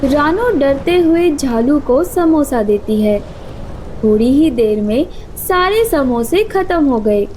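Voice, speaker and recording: A woman's voice: high voice, expressive, takes it slowly, warm tone, a little hiss or hum, poor audio. A woman reading a scripted children's story as narrator.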